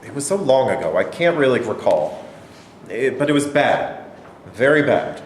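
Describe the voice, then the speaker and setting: A man's voice: medium pitch, big pitch swings, moderate pace, natural voice. Man playing an indignant old survivor, echoey room. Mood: evasive, weary. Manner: defensive, evasive, protesting. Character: indignant elderly male Holocaust survivor accused of collaborating. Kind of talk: staged reading of a play. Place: indoor room at a staged reading. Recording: echoey room, light noise, distant pickup.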